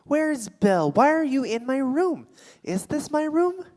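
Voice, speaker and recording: A woman's voice: high-pitched, very animated, a little slow, darkish tone. An actress playing a defensive, rattled woman with a secret affair in a live staged play reading. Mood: alarmed, suspicious. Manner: indignant, sarcastic, stammering when rattled. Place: theatre stage before a live audience. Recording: live theatre stage, long hall reverb.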